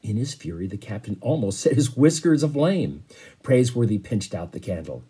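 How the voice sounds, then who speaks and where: A man in his fifties reading a story aloud, pausing for casual explanations. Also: lowish voice, sing-song, dark tone, slightly rough. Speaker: teacher reading to a class. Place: small bedroom, indoors.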